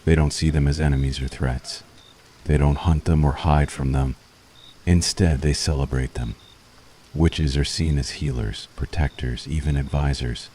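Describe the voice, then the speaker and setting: Man acting as weary witch hunter, extremely low voice, mellow tone, soft-spoken, earnest, conflicted, intimate ASMR roleplay. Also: steady tone, moderate pace, a little hiss or hum. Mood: wistful, tender. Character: weary, conflicted male witch hunter yearning for peace, tender toward enemy. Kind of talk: ASMR boyfriend roleplay, intimate scripted monologue.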